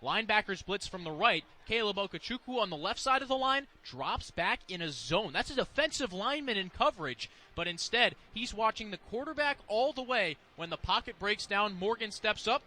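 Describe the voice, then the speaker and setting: A man's voice: sits high, expressive, moderate pace, few pauses, bright, forceful tone. A man with an American accent giving live color commentary on football in an explanatory, conversational way.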